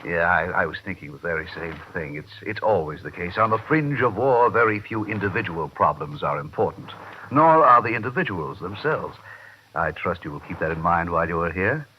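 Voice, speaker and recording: A man's voice: deep voice, animated, fairly quick. An actor playing a brusque, world-weary consular official in a vintage radio drama, hemming drily as he talks. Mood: dry, officious. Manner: hesitant hemming, dry, officious. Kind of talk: 1950s radio drama acting. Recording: muffled, noisy vintage radio recording.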